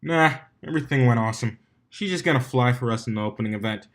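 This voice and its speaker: A man's voice: mid-range voice, fast, lightly acted. A man voicing a cocky, laid-back young male cartoon pony with a casual, boastful manner in a fan story reading. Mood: breezy.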